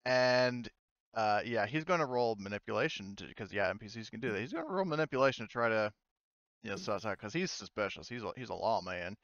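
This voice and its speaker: A man narrating a tabletop game as game master, talking casually and haltingly with some swearing. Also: on the low side, animated, a bit bright, no echo, dull audio.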